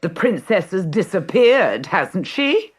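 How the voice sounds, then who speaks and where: A woman voicing a vain, domineering middle-aged stepmother in a storybook, haughty and booming, with a slow drawl. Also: big pitch swings, slightly rough, heavily acted. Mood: snarling, scheming. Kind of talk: dramatised children's storybook reading.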